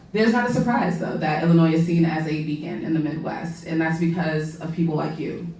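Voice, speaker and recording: Low-voiced woman lawyer in an echoey room. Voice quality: loud voice. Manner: earnest, conversational, frequent "um" and "right?". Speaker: policy lawyer giving a public talk. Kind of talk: public talk to a community audience. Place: public library meeting room. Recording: echoey room, mic far off.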